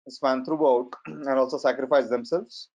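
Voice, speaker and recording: A man in his forties with a strong Indian accent, giving an online lecture in a measured, hesitant way with fillers. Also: medium pitch, fairly even intonation, darkish tone. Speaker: data science trainer. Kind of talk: webinar lecture. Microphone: computer mic on a video call. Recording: slightly muffled online webinar audio.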